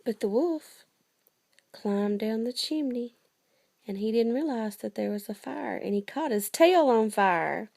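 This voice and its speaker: A woman's voice: a little high, animated, measured pace, gravelly, darkish tone. A woman telling bedtime stories to a child in a playful, chatty way, often prompting with questions. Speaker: storyteller to a child. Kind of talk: bedtime storytelling with casual chat.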